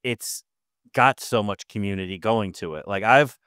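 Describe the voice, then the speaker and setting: Massachusetts man, podcast host, easygoing storyteller, smooth clean tone, dry recording. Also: on the low side, normal speed, no room sound. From Massachusetts, Nantucket. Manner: relaxed, chatty storytelling. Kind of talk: casual podcast conversation.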